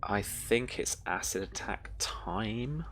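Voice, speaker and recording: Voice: mid-range voice, lively intonation, slow pace, fairly bright tone, slight background noise. A game master narrating a tabletop role-playing game in a conversational, descriptive way.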